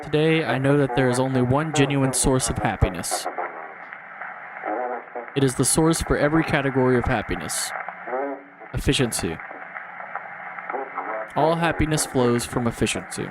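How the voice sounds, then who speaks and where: A man's voice: mid-range voice, animated, natural voice. Man acting a solemn old-time diplomat, noisy recording. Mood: solemn, grand. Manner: formal, grandiloquent, measured. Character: solemn 19th-century diplomat and canal developer giving speech. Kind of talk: scripted analog horror monologue.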